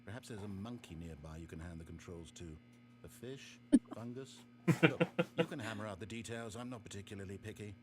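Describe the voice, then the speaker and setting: An actor playing a pompous, sardonic and exasperated storybook narrator in a video game, speaking articulately in scripted narration. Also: male voice, fairly low pitch, brisk, a bit bright, faint music behind, natural voice. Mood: disdainful.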